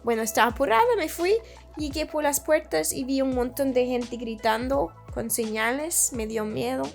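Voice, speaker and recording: Young irish woman, english first language, fairly high gravelly voice, chats in spanish with a chilean lilt, faint music underneath. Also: moderate pace. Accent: Chilean-inflected Spanish learner. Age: young adult. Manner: searches for words, restarts, laughs easily. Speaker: interview guest. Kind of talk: casual storytelling.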